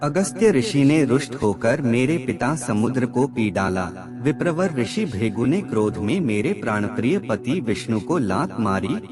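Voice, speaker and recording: A man reading aphorisms as scripted narration, in a measured, formal reciting manner. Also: mid-range voice, very animated. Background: background music.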